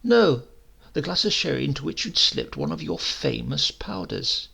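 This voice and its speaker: A man plays a suspicious, dryly polite nephew in a storybook tale. The voice is husky and gravelly with a bright edge, and the words come out pointed and courteous. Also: mid-range voice, wildly swooping pitch, normal speed, heavily acted. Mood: pointed, insistent. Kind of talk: acted dialogue in a storybook reading.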